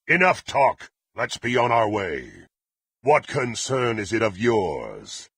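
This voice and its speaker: A haughty, power-hungry dark warrior for a fantasy video game. His low, rasping male voice swings dramatically in pitch as he delivers threats in lofty, old-fashioned phrasing. He sounds violent, proud and utterly menacing. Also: very animated, slow pace, dry recording, studio quality, exaggerated voice. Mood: furious, murderous, then haughty, brooding. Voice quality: gravelly, raspy. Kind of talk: theatrical fantasy game voice acting.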